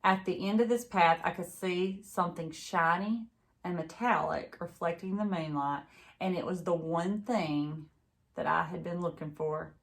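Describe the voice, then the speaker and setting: A woman with a slightly low voice reads a children's book aloud. She is expressive and teacherly, speaking as if to a class of young listeners. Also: vocal fry. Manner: pauses to chat with young listeners.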